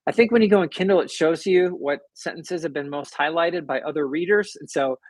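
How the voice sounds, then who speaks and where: A man with a soothing, somewhat high-pitched voice talks casually on a livestream. His delivery is conversational and rambling, with a self-deprecating touch. The sound is dry, with no room echo. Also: a little fast. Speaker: livestream host, author. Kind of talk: casual livestream chat, reading and answering viewer comments.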